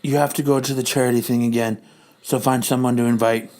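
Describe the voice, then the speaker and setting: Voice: medium pitch, flat delivery, a little fast, a little mellow, pitched below the performer's own voice, lightly acted. A performer in an amateur comic reading, voicing an exasperated, bossy wife who gives dry, nagging instructions.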